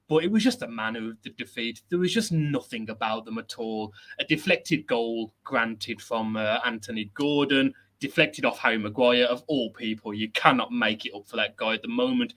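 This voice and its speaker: A man hosting a football chat show, chatty, energetic and self-deprecating, speaking without a headset at a laptop setup. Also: medium pitch, normal speed. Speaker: host.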